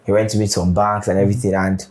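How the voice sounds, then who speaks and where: A man's voice: lowish voice, little pitch movement, quick pace, darkish tone. Nigerian man in his twenties, chatty. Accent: Nigerian English. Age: 20s. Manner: easygoing, chatty, laughs as he tells stories. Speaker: guest, property developer. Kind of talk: casual interview storytelling.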